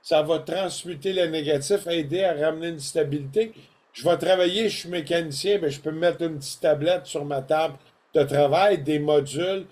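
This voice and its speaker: An older man with a Québécois French accent talks in a folksy, animated way, full of colloquial asides. His voice sits a bit high for a man. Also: normal speed. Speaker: co-host presenting products. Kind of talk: casual podcast chat.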